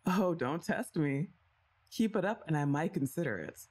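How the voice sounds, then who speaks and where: A young woman's voice that moves up and down a lot as she speaks, in a teasing, bossy-but-playful way. She sighs and laughs softly between lines while she talks straight to the listener in a scripted ASMR roleplay. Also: low-pitched, lively intonation, moderate pace, slightly rough.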